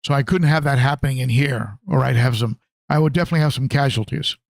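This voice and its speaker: A man hosting a casual hobby livestream, talking conversationally and haltingly, often repeating phrases as he answers viewers. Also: medium pitch, creaky, no room sound, studio quality. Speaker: livestream host, aquarium hobbyist.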